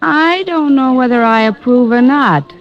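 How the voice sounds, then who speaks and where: A woman's voice: high voice, measured pace. An actress playing a playful, affectionate young woman out with her detective boyfriend, pleading and teasing in 1940s radio style. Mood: coaxing. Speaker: detective's girlfriend. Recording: dull old radio recording with music.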